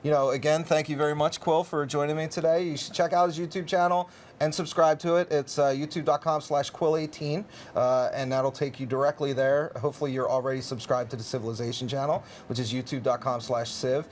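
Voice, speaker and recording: A man hosting a relaxed gameplay chat, easygoing and quick to laugh, stumbling over repeated words. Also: fairly high pitch, slight background noise. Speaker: host, community manager.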